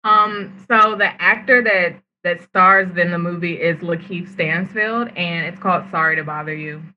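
An eager, chatty woman with a slightly low voice. The audio is muffled, and a faint hiss sits behind her. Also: normal speed. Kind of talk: casual chat.

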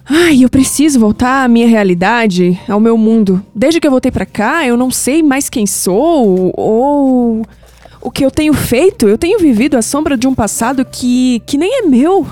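An actress playing an anguished young Brazilian granddaughter in an audio drama, emotional and hesitant, with sighs. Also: female voice, high-pitched, expressive, normal speed, warm tone, no echo. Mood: anguished, confused. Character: anguished, skeptical young granddaughter unsettled by past-life visions. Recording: music bed under the voice.

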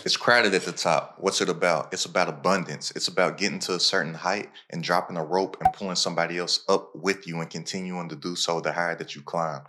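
Deep-voiced man hosting a casual podcast. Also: fairly even intonation, brisk, few pauses, background noise. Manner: informal, slangy, motivational. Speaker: podcast host and entrepreneur. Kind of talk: casual podcast talk and pitching to listeners.

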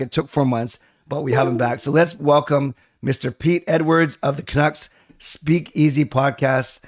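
A man hosts a sports podcast in a chatty, easygoing manner. His voice is raspy and hoarse from a cold, and he keeps to a middle pitch. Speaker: podcast host. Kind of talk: casual sports podcast talk.

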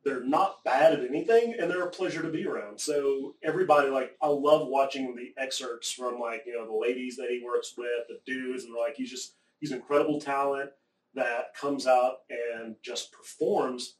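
A man from the American South talking in casual conversation, rambling and profane, often getting animated. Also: mid-range voice, normal speed. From American South, Appalachia. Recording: echoey room, distant mic.

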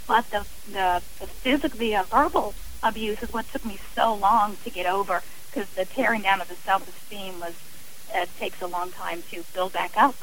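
A woman's voice: medium pitch, moderate pace, a bit bright, slight background noise, low-quality recording. A woman guest on a radio interview, speaking candidly and plainly, restarting phrases as she thinks. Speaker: guest author. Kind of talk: phone-in interview.

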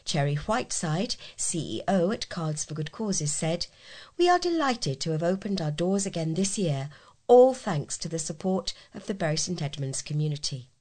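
A woman's voice: fairly low pitch, sing-song, moderate pace, a little mellow. A woman reading short local news items from a script as a volunteer newsreader.

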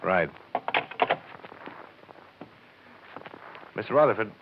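Tough radio detective, lowish clipped voice, blunt and dry, muffled old recording. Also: male voice, animated, rapid-fire, slight background noise. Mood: cool, confronting. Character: hard-boiled, probing male insurance investigator. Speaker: lead actor in a radio drama. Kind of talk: 1950s radio detective acting.

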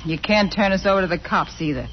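An actor playing a defiant, mouthy crook in a 1940s radio drama, heard on a muffled, noisy recording. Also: male voice, high-pitched, fast talker, lightly acted. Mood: defiant, sneering. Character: defiant, mouthy male crook facing down a detective.

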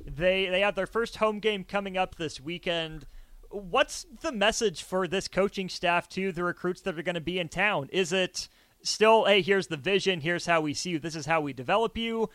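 A man hosting a sports radio show from a studio, introducing and questioning a guest in a smooth, structured delivery. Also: high voice, normal speed, fairly bright tone, no room sound.

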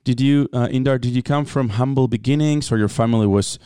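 A male podcast host introduces his guest and asks questions, often restarting mid-sentence. His low voice is mellow and smooth. The sound is dry and close. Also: a little fast, no echo. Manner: many restarts, hesitant questions. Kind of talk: interview hosting.

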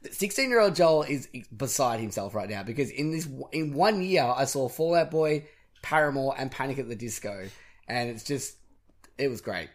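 A young man hosting a podcast in casual chat, his voice husky and raspy from a cold and singing, rambling and self-deprecating. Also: medium pitch, lively intonation, moderate pace. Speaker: podcast host.

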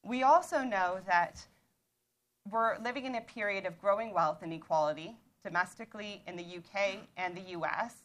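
A woman with a Canadian accent talks through her research to a panel audience. She explains steadily and methodically, sometimes doubling back to restart a phrase. Her voice sits at an ordinary middle pitch. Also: a bit bright. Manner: measured, explanatory, with occasional restarts. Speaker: author and panel speaker. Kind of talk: lecture-style talk.

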